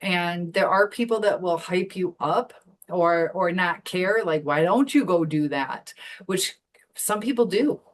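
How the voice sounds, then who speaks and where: A woman's voice: on the low side, animated, a little slow, slight background noise. A woman talking casually as an interview guest on a video call, chatty and full of fillers. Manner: chatty, many fillers and restarts. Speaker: community founder guest.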